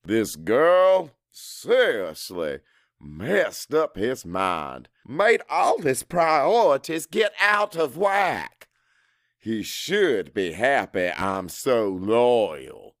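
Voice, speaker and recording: A crude, cocky salesman , voiced by a male narrator, tells his story with brash scorn. His pitch swings dramatically while the pace stays on the slow side, in a dry, close audiobook recording. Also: big pitch swings, no room sound, full character voice. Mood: scornful, indignant. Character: crude, cocky salesman, macho, resentful, foul-mouthed.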